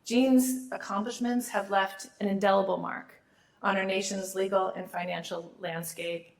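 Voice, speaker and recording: A woman's voice: mid-range voice, fairly even intonation, moderate pace. A middle-aged woman, a law school dean, gives a formal awards speech while losing her voice, with small restarts and asides.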